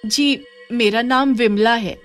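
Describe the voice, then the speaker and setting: A woman's voice: on the high side, slow pace, voice pushed up. A woman playing a meek, polite village widow in a horror story, with a pleading, deferential manner. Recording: music under the voice.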